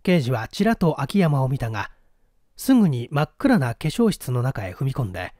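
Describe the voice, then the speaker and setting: Male audiobook narrator, mellow and dry-sounding, dramatic storytelling style. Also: mid-range voice, sing-song, moderate pace, no room sound.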